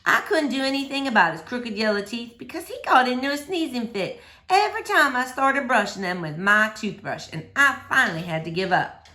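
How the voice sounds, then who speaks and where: A woman reading a storybook aloud to children with expressive narration. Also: fairly high pitch, a little fast. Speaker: read-aloud host for children.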